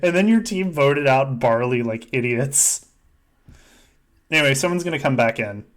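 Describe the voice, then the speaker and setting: A man hosts a casual podcast, narrating a game play-by-play. His mid-pitched voice is jokey and loose, and he swears freely. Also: animated, normal speed. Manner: joking, foul-mouthed. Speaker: podcast host running a simulated game. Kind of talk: casual play-by-play commentary among friends.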